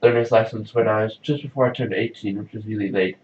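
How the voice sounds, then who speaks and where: A man's voice: on the low side, steady tone, fast. A young man from South Africa rambling casually in a video diary, leaning heavily on "like". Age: young adult. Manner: rambling, chatty, says "like" often. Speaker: vlogger. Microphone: camera mic from a distance. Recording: roomy, distant, dull lo-fi sound.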